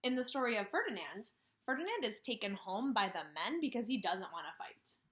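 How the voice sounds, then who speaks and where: A woman in her thirties giving a kindergarten read-aloud lesson, speaking with emphasis and pausing after questions as if for children to answer. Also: fairly high pitch. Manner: animated, asks questions and pauses for answers, slow emphatic phrasing. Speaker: kindergarten teacher. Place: small indoor room with brick wall.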